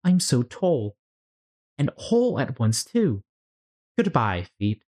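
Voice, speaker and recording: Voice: medium pitch, sing-song, slow pace, darkish tone, pitched above the performer's own voice. A storyteller voicing a dramatic, bewildered young girl who frets and scolds herself in a storybook. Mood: astonished. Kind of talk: storybook character read-aloud.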